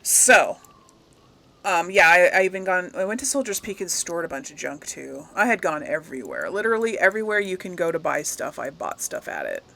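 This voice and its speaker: Bright-voiced woman chatting through a game. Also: fairly low pitch, big pitch swings, brisk, vocal fry. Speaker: gaming host. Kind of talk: casual chatty game playthrough commentary.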